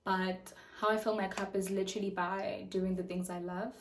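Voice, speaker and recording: A woman's voice: mid-range voice, level tone, moderate pace, a little hiss or hum. Chatty young woman in an echoey room. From South Africa. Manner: chatty, reads questions aloud then answers with frequent fillers. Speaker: YouTuber. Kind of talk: casual Q&A vlog.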